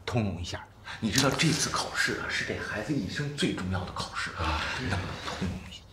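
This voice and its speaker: Male actor for conciliatory, coaxing drama role, low register with lively intonation. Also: quick pace, natural voice. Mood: polite pleading. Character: gentle, reasonable man soothing and pleading for a student. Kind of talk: screen drama acting. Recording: noisy background with clicks.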